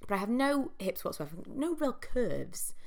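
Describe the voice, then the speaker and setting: A woman's voice: on the high side, sing-song, moderate pace, gravelly. A young woman hosting a solo podcast, talking in a chatty, reflective way with frequent ums and likes, her voice congested from a cold. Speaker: podcast host. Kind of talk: casual solo podcast monologue.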